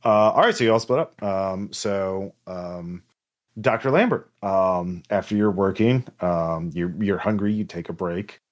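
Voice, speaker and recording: A man narrating as game master of a tabletop roleplaying game, in a halting, improvised way. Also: deep voice.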